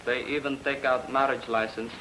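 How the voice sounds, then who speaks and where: A man's voice: mid-range voice, flat delivery, moderate pace, natural voice, poor audio. A man acting a cagey, mercenary houseboy in a radio drama, speaking clipped broken English. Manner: clipped broken English, dropping articles and verb endings. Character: cagey, mercenary male houseboy informant, blunt and eager. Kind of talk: old-time radio character acting. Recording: old radio recording, faint noise.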